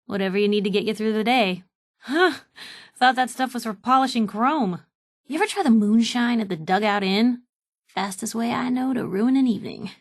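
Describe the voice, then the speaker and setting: A woman playing a sassy, warm-hearted young reporter and loyal companion in a video game, talking in wry, breezy, clipped slang. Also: on the high side, normal speed, dry recording, studio quality. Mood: wry, teasing. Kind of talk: game voice lines, short reactive barks.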